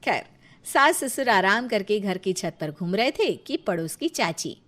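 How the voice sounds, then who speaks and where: A woman narrating a scripted comic moral story in an animated storytelling style. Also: mid-range voice, unhurried.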